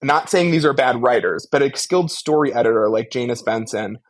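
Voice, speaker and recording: A man with a middle-pitched voice discusses media as a podcast co-host. He is articulate and analytical, stressing his points as he builds them out at length in conversational language. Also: brisk. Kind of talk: casual media discussion and critique.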